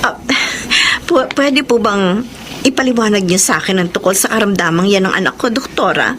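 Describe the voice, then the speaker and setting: A woman's voice: medium pitch, moderate pace, fairly bright tone, slight background noise, lightly acted. A woman playing a devoted, suffering mother in a Filipino radio drama, earnest and emotional, with a Filipino accent. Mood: anxious. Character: devoted, suffering mother pleading for her special son.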